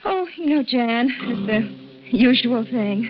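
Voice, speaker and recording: An actress playing a devoted, firm-minded wife in a 1940s radio drama. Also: female voice, fairly high pitch, animated, slow pace. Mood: casual. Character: devoted, firm-minded wife soothing her troubled husband. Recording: muffled, noisy vintage radio recording.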